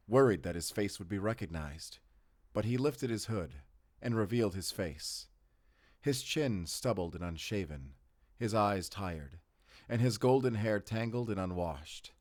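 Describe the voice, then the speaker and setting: A man acts out a fairy-tale teller with a low voice in a dry, close space. He speaks in measured, theatrical, old-fashioned phrases. The tone is fond and foreboding, made for a cinematic audio roleplay. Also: dry recording.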